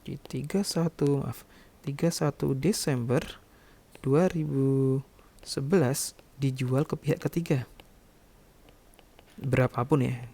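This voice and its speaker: A man's voice: mid-range voice, fairly even intonation, takes it slowly, pauses often, dark tone, slightly rough. A man teaching like a lecturer, explaining step by step and often adding short check-in words as he goes. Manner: instructional, repeatedly checking with short tag words. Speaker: accounting lecturer.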